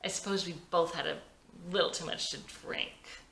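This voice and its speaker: A woman's voice: on the low side, moderate pace, gravelly, a bit bright, reverberant, low-quality recording. A woman voicing a tipsy, clingy married woman with a playful, teasing manner in a script reading. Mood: tipsy, flirty.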